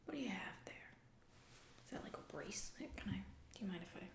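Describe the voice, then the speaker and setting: Whispering, creaky, distracted lady boss acted by a woman. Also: mid-range voice, big pitch swings, fast talker, echoey room. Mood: airy, dismissive. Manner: rambling, flippant, casual corporate drawl with trailing asides. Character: self-absorbed, distracted, entitled female CEO and aunt. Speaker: ASMR roleplay performer. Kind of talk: whispered comedic ASMR roleplay.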